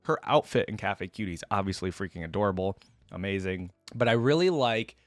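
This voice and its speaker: A man's voice: deep voice, lively intonation, brisk, no echo, clean studio sound. A man giving casual reaction commentary as a video host, thinking aloud in informal, slangy language.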